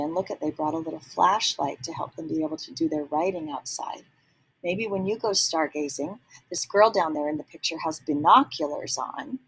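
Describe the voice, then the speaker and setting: Woman reading a kids book out loud, really husky gravelly voice, asks little questions, whispers sometimes. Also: medium pitch, poor audio. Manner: gentle teacherly questions to young listeners, soft whispered asides. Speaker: read-aloud storyteller. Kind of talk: reading a children's picture book aloud.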